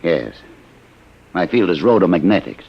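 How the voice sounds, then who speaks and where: Deep gravelly old inventor. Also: male voice, very animated, normal speed, full character voice. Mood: mild, learned. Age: older. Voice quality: gravelly, husky. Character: courteous, scholarly old inventor. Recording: dull old radio recording.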